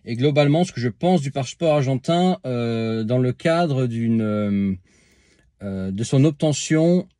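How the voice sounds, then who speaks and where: A man's voice: mid-range voice, lively intonation, a little slow, dark tone, no echo, studio quality. A man talking casually to camera as a vlogger, answering a viewer's comment with many filler sounds and restarts, speaking to the viewer informally.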